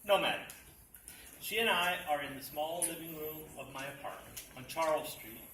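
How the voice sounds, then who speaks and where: A man reading a humorous story aloud at a library dinner event, in a dry, deadpan storytelling manner. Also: on the high side, moderate pace. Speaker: reader at a literacy event. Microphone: distant mic. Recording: echoey room, distant mic, background noise.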